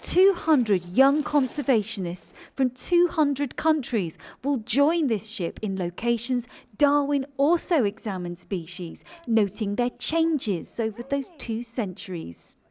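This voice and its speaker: A woman reporting a news story in a scripted, lively narration. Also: fairly high pitch, slow pace, few pauses.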